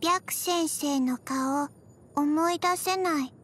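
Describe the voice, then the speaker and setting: Slow, high-voiced, deadpan undead little girl. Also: full character voice. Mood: flat, drowsy. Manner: halting, deadpan, soft-spoken with frequent pauses. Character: small undead zombie girl, gentle, forgetful, emotionless. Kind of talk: scripted video game character voice lines.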